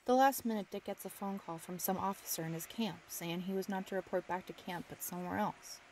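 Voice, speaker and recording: A woman's voice: mid-range voice, steady tone, brisk, a little mellow, close to an everyday voice. A woman playing a tough, grieving young factory widow in an old-time radio drama, brusque, slangy and impatient. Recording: noisy amateur radio play recording.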